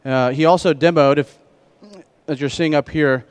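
A middle-aged man presenting a conference keynote on stage through a headset mic, speaking in measured phrases. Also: on the high side, steady tone, clear, resonant tone. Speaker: keynote speaker, trade association president.

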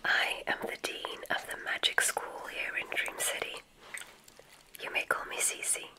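A woman playing a gracious, whimsical witch who runs a magic school, in a genteel, breathy ASMR whisper. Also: natural voice. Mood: welcoming, theatrical. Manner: genteel, unhurried, welcoming. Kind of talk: ASMR medical roleplay.

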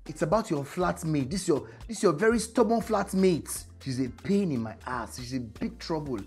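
A woman with a Nigerian accent playing a student in a shared flat who talks expressively and mixes Pidgin English with Yoruba. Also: low-pitched, normal speed, faint music behind. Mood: exasperated. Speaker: student sharing a Lagos flat.

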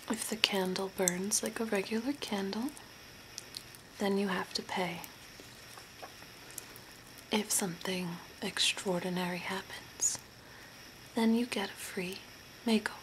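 A woman voicing an eerie, deadpan female zombie makeover artist in a soft, breathy, mostly whispered ASMR role play, speaking slowly and deliberately. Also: medium pitch, close to an everyday voice. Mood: eerie, courteous. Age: young adult. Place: small curtained room at home, indoors. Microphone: close to the camera. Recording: noisy amateur home recording.

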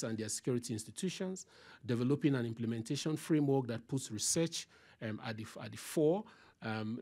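A middle-aged man presenting on a conference panel into a table microphone, halting, with many fillers and repeated words. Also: mid-range voice, darkish tone.

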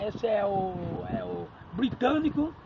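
A man's voice: sits high, animated, takes it slowly, vocal fry, a crowd in the background. A middle-aged Brazilian man with a Cuiabá accent, playfully boasting and spouting made-up foreign-sounding gibberish at night on a wet street, filmed on a phone. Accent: Cuiabá Portuguese. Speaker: street character being interviewed. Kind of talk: joking street chat. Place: outdoors at night on a wet street by a snack stand. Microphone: phone camera held close. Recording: muffled phone audio, noisy street with people around.

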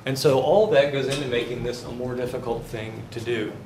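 A man's voice: mid-range voice, fairly even intonation, fairly quick, a little mellow. 50s guy teaching a class, chatty, roomy classroom sound from the back. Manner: conversational, asks the class questions and answers them. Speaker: finance lecturer. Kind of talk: live university lecture. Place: university classroom with whiteboards. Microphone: far camera, no visible mic. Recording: roomy classroom, faint background noise.

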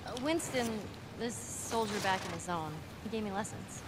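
A woman's voice: mid-range voice, animated, moderate pace, very noisy, lightly acted. A woman playing a curious, plucky teenage girl in a naturalistic video game drama, informal and full of teasing questions. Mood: friendly.

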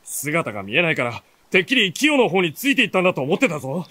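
An actor playing an earnest, caring young warrior companion with a husky voice in a radio drama. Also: male voice, a little high, very animated, fast talker, bright, forceful tone, dry recording, exaggerated voice. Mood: surprised urging. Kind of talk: Japanese radio drama acting.

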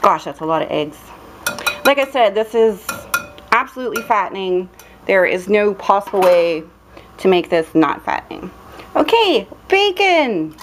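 A woman's voice: mid-range voice, big pitch swings, normal speed, slight background noise. A young woman demonstrating a recipe in her dim home kitchen, chatty and playful, with lots of ums and cheerful exclamations. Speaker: cooking vlogger. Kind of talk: casual cooking demonstration. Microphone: camera held close, filming her hands.

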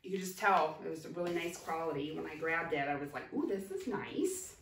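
A woman's voice: sits low, animated, a bit bright, a little hiss or hum. A woman in her forties, chatting casually as she shows thrift finds one by one, with many ums along the way. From Ohio. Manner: chatty, unhurried, many ums, holding up items. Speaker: thrift reseller vlogger. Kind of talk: casual haul show-and-tell. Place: home kitchen, indoors. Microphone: camera at a distance. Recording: roomy kitchen sound, voice from a distance.

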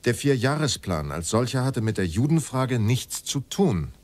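An actor playing a middle-aged former state secretary under questioning in a radio drama, formal, terse and defensive. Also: male voice, mid-range voice, sing-song, normal speed, natural voice. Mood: guarded. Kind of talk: documentary radio drama dialogue.

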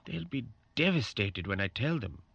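An actor playing a polite, sympathetic alarm operator in a radio drama, heard through an intercom speaker. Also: male voice, mid-range voice, very animated, moderate pace, gravelly, fairly bright tone, husky, no echo, lo-fi sound, heavily acted. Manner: polite, solicitous. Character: Paul, courteous, sympathetic male alarm-company call operator.

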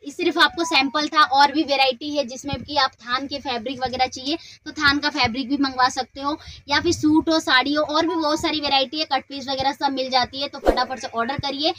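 A woman with a high, light voice hosts a shop video and walks through the goods in an easy, repetitive sales patter. She keeps the talk friendly and steady, like a seller at a market stall. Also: a little fast, slight background noise. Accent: Hindi speaker from North India. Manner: chatty repetitive selling patter. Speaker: shop video host showing wholesale suits. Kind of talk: product showcase sales pitch.

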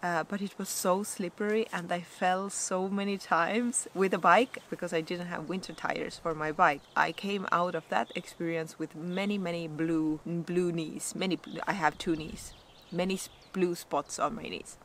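A woman's voice: on the low side, fairly even intonation, normal speed, fairly bright tone, slight background noise. A woman in her thirties with an Estonian accent, talking casually and candidly to a camera she holds at arm's length outdoors, with plenty of fillers and self-mocking asides. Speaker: vlogger. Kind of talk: casual vlog talk to camera. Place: outdoors in a forest clearing.

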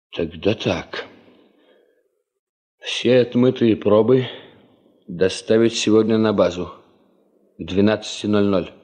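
An actor playing a weary, dour middle-aged geologist boss, terse and brooding, in a 1970s Soviet radio play. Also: male voice, sits low, darkish tone, breathy edge, heavily acted. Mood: commanding.

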